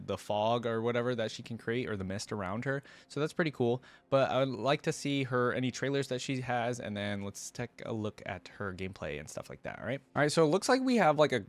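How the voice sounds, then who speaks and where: A man hosting a reaction video, moving between informal, enthusiastic fan commentary and reading a story aloud. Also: medium pitch.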